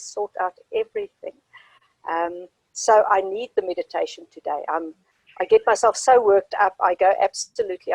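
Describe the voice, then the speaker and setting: A woman chatting on a group call, animated and quick to laugh. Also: medium pitch, vocal fry, breathy edge, no echo, low-quality recording. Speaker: group participant. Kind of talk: casual chat on a group call.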